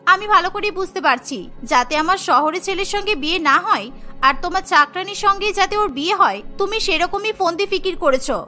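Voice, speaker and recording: A woman's voice: very high voice, expressive, brisk, pushed and bright, heavily acted. A woman voicing a spoiled, sulky young city granddaughter in a cartoon, whiny and insistent. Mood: petulant, defiant. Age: young adult. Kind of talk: cartoon story character voice. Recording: music behind the voice.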